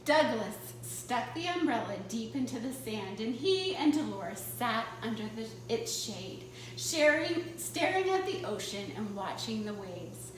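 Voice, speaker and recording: Female children's storyteller: dramatic read-aloud, high and bright, unhurried, in a very echoey room. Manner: expressive, dramatic. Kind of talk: animated picture-book read-aloud. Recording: very echoey room, distant mic, faint noise.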